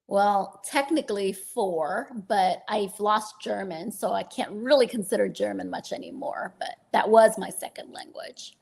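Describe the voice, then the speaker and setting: A woman's voice: medium pitch, a little slow, vocal fry, few pauses, breathy edge. A woman interview guest, talking in a rambling, laughing way about her early life. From born in the Philippines to Vietnamese parents. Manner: warm and laughing often, rambling restarts. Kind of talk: interview storytelling.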